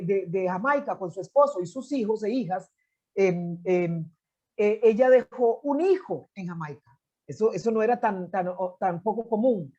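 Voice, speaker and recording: Middle-aged Costa Rican woman, interview guest; mellow, reflective storyteller, slightly muffled lo-fi audio. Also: medium pitch. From Costa Rica. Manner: reflective, frequent restarts and filler "este". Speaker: interview guest, anthropologist and poet. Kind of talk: conversational storytelling.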